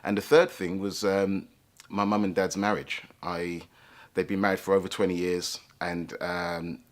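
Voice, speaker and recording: A man with a deep, low-pitched voice tells his own life story. He speaks reflectively and thoughtfully, often pausing to find the right words. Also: level tone. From England, London. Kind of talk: personal life story told to camera.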